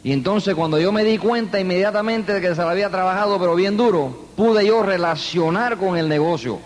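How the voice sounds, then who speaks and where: A man's voice: high voice, a little fast. Earnest older man, speaking in an echoing hall. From New York, United States. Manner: earnest storytelling, emphatic repetition. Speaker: motivational speaker. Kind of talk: motivational speech to a live audience.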